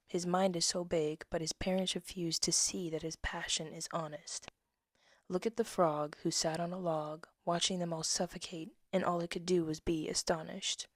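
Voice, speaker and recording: A woman, reading her old poems and essay aloud with casual asides, soft-spoken and slipping into whispers. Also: lowish voice, dry recording. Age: 20s. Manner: soft-spoken, drifts into whispers, laughs at herself. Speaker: poet reading her own work.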